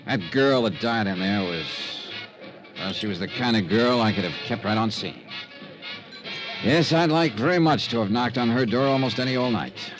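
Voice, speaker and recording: An actor playing a world-weary, terse insurance investigator in a 1950s radio detective drama, with a clipped, dry, brooding delivery. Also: male voice, medium pitch, animated, moderate pace, close to an everyday voice, poor audio. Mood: brooding, pained. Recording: vintage radio recording with music.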